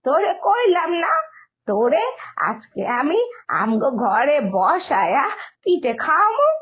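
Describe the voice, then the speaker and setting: A woman playing a doting, insistent old village grandmother in a cartoon, with an East Bengali accent and slow, coaxing, drawn-out speech. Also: high-pitched, very animated, a bit bright, heavily acted. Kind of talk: animated moral-story cartoon acting.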